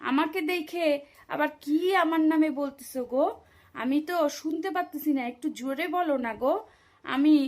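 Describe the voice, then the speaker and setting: A woman's voice: high voice, close to an everyday voice. A woman voicing a suspicious village mother who accuses and scolds in a comedy cartoon. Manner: accusing, challenging.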